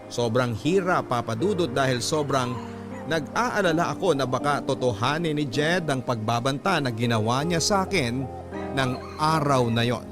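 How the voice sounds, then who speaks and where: Male radio storyteller over clear background music. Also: medium pitch, animated, normal speed. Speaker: radio drama host and narrator. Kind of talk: scripted first-person story narration, reading a listener's letter. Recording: prominent music bed behind the voice.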